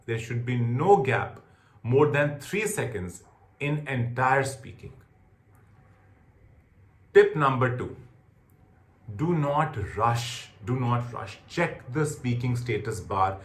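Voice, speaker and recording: Male test-prep trainer, Indian accent, instructional delivery with lively intonation and emphatic repetition, recorded in a reverberant room. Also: mid-range voice, measured pace. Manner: emphatic, repeats key points. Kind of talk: instructional lecture on exam tips.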